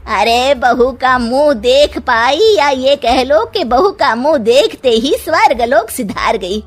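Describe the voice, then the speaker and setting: Woman putting on a shrill-pitched, spiteful old gossip. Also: animated, takes it slowly, faint music behind, full character voice. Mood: bitter, scornful. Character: spiteful gossiping older female relative, superstitious and scornful.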